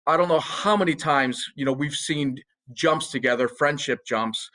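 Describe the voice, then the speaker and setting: Bright, high-voiced American military man. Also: normal speed. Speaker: military officer, panelist. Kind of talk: informal, personal panel remarks.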